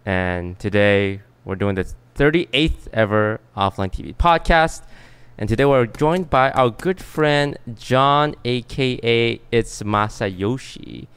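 A man's voice: mid-range voice, lively intonation, slow pace, few pauses, a bit bright, smooth. A man hosting a podcast, chatting casually. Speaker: podcast host.

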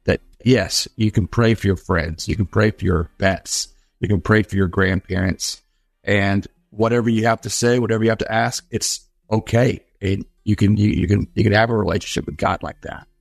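A man speaking casually as an interview guest, earnest and reflective, feeling his way haltingly through his thoughts. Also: on the low side, fairly even intonation, gravelly, no room sound. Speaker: interview guest, children's book author.

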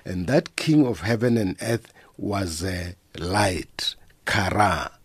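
A man speaks as a guest expert on a radio discussion. His voice is low and husky, and he explains slowly and deliberately. The sound is dry and close. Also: animated, no room sound. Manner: slow, measured explaining.

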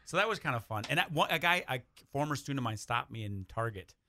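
American guy, bit of fry in a bright voice, casual radio guest telling stories and doing the quotes. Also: medium pitch, lively intonation, fast, slight background noise. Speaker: radio play writer and guest. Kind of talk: casual anecdotal chat. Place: radio studio.

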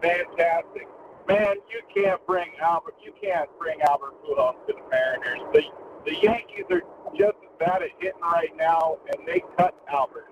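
A man's voice: a little high, moderate pace, recorded from a distance. A man calling in to a sports radio show, eager and opinionated. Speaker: caller. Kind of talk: phone-in call. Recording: muffled noisy phone line.

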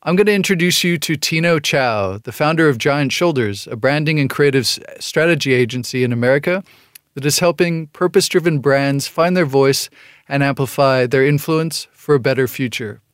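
A man's voice: on the high side, normal speed, no echo. Upbeat male radio host, dry studio. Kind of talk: scripted segment and interview.

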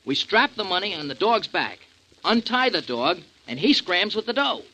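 An actor playing an earnest, streetwise small-time sidekick with an American accent and slangy, ungrammatical speech, in 1940s radio drama style. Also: male voice, sits high, very animated, normal speed, bright, forceful tone, heavily acted, rough recording. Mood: dutiful. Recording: vintage 1940s radio recording.

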